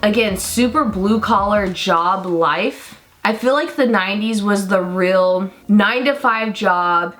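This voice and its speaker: A young woman with a deep voice for a woman talks through her makeup routine in a loose, rambling, excited way, full of fillers. Her pitch sits in a normal middle range. Soft music plays faintly behind her. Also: takes it slowly, few pauses. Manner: rambling, enthusiastic, lots of fillers. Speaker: beauty vlogger. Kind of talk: chatty get-ready-with-me makeup talk.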